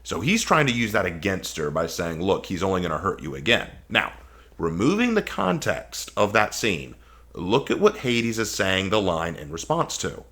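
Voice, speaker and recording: A man's voice: low voice, sing-song, normal speed, fairly bright tone. A man giving casual, opinionated video commentary, arguing emphatically with sarcasm and incredulous rhetorical questions. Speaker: video commentator hosting a response video.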